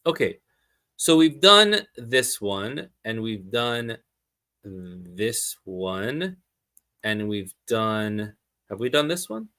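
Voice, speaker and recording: Male online teacher, slightly low pitch, bright forceful voice, explanatory, reasons aloud step by step. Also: sing-song, drawn-out words. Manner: explanatory, thinking aloud, asks and answers own questions. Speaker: teacher explaining zakat calculation. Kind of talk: live streamed lesson, talking through worked examples.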